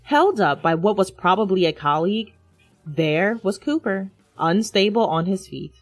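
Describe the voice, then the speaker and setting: A woman's voice: medium pitch, expressive, moderate pace, no echo, soft background music. A woman reading a scripted first-person dramatic story as its narrator.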